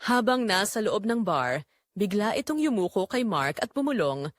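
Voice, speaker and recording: A woman's voice: medium pitch, big pitch swings, moderate pace, dry recording, clean studio sound. A woman with a Filipino accent reading a scripted dramatic story as the narrator of an audio drama.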